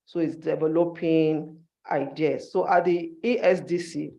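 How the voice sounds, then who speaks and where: A man's voice: a little high, steady tone, unhurried, creaky, mellow. A man giving an instructive conference presentation in short, emphatic phrases, as a university staff member.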